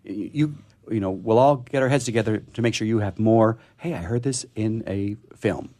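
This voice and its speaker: A man's voice: lowish voice, moderate pace, warm tone. A man hosting a radio show in casual discussion, often repeating his words and phrases.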